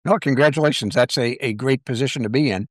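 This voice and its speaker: A man's voice: medium pitch, fast, no room sound, studio quality. A middle-aged man, interviewing in a casual, informal way as a podcast host.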